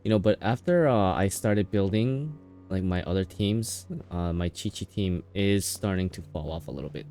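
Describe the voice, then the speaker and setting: A man's voice: deep voice, moderate pace, hardly any pauses, warm tone, faint music behind. A man streaming a game, giving casual live commentary and reacting as he plays. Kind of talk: casual live gameplay commentary, reading along.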